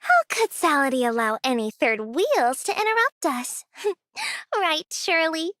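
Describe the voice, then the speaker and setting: A lovestruck female stalker from an anime game. Her voice is tiny and very high, swooping dramatically through drawn-out, gushing phrases. She sounds obsessive and girlish, close and dry. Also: sing-song, fairly bright tone, no room sound, heavily acted. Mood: gloating adoration. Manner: refers to herself in third person, swooning.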